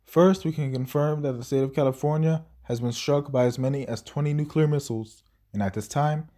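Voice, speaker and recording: A man's voice: a little high, fairly quick, dark tone, natural voice. A man playing a local TV news anchor in an audio drama, with a formal announcer delivery. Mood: composed. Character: local TV news anchor, male, composed then shaken.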